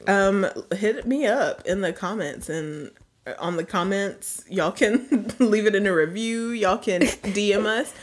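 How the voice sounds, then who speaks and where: A woman co-hosting a podcast in casual, jokey chat, laughing often and trailing off into quiet asides. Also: medium pitch, unhurried. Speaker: podcast co-host.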